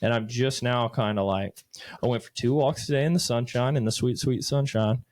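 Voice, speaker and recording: A man's voice: mid-range voice, moderate pace, a little mellow. A man in his late twenties, co-hosting a podcast, telling rambling stories in loose, profane casual chat. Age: late 20s. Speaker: podcast co-host.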